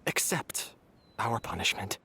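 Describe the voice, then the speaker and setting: Remorseful young game traitor: slow, husky, halting, resigned. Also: male voice, on the low side, very animated, bright, forceful tone, full character voice. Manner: halting, heavy-hearted. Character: resigned, remorseful young man accepting punishment. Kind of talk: video game voice acting.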